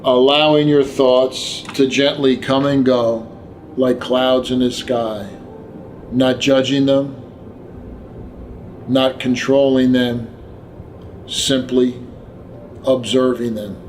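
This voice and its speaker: Male meditation guide, medium pitch, gentle and very slow scripted delivery with pauses, over background music. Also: level tone, slight background noise. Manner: gentle, unhurried instruction with long pauses.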